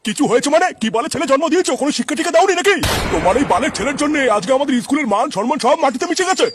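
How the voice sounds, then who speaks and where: A man's voice: very high voice, animated, racing, noisy background. A man voicing a stern, foul-mouthed school principal in a comedy cartoon, with a scolding, authoritative manner. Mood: angry scolding. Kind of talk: exaggerated cartoon comedy dialogue. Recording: music behind the voice.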